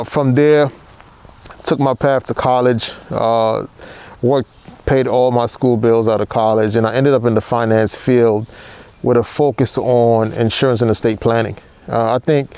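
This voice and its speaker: A middle-aged man, born in Haiti and raised in New York, co-hosting a podcast and talking about his background in a relaxed, steady way with many fillers. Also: mid-range voice, clean tone. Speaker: podcast co-host. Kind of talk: casual chat.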